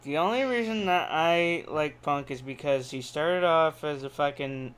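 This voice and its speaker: Brash, sweary man, slightly high voice. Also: a little slow, clean tone. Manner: brash, heavily sweary, animated storytelling. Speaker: podcast co-host. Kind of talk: casual wrestling podcast banter.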